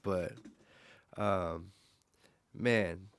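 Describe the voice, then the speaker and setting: A man's voice: deep voice, fairly even intonation, extremely slow, no echo. A thirty-year-old man hosting a podcast, easygoing and casual, swearing now and then. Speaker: podcast host. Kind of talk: casual conversation.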